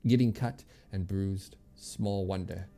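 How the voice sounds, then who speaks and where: A man's voice: sits low, steady tone, slow, warm tone, faint music behind. A man voicing a kindly, wistful old woman, a former nursemaid and healer, in a story read aloud. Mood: fond, reminiscing.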